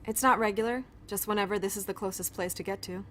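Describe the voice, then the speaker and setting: A woman's voice: mid-range voice, fairly even intonation, quick pace, fairly bright tone, slight background noise, close to an everyday voice. An actress playing a friendly, awkward woman pediatrician in an audio drama, apologetic and often interrupting herself. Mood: explaining eagerly. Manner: chatty, awkward, self-interrupting. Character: friendly, flustered, apologetic woman pediatrician who shelters fugitives. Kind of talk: naturalistic audio drama dialogue.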